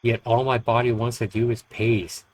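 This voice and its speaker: A man reading a horror story aloud as dramatic narration, with deliberate, expressive phrasing. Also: medium pitch, low-quality recording. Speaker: streamer reading a visual novel aloud.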